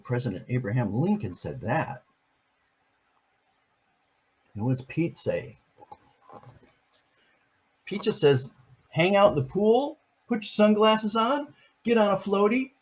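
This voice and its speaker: A man's voice: fairly high pitch, a little mellow, breathy edge. An older man, a grandfather, reading a picture book aloud to his grandchildren and chatting about each page. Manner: chatty, explains each page in plain words.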